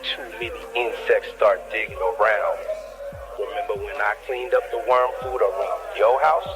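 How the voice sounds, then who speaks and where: A man's voice: lowish voice, moderate pace, low-quality recording. A man talking in slangy, veiled, insinuating conversation. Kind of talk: slangy phone-call conversation. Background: music.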